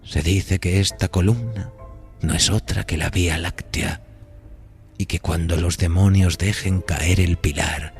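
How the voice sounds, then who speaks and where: Deep gravelly male horror podcast narrator. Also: steady tone. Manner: solemn, literary, deliberate storytelling. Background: music bed.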